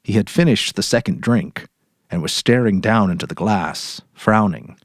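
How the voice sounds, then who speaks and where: A man narrating a literary short story dramatically, in a measured, deliberate way. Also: fairly low pitch, dry recording, clean studio sound.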